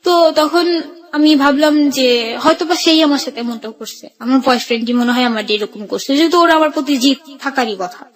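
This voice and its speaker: A high-voiced woman from Bangladesh recounts her own life as a guest on a radio show. She speaks in colloquial Dhaka-accented speech and quotes people's words as she narrates. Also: moderate pace. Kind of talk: personal life-story narration.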